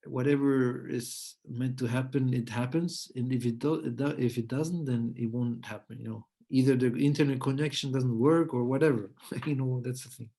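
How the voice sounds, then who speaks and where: Husky, creaky man searching for words. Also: mid-range voice, fairly even intonation, moderate pace, mellow. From Peru-born, lives in Switzerland. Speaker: podcast host. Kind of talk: casual spiritual conversation.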